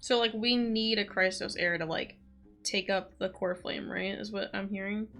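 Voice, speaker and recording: Creaky-voiced woman streaming a game. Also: medium pitch, steady tone, normal speed, a bit bright, soft background music. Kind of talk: casual live gameplay commentary.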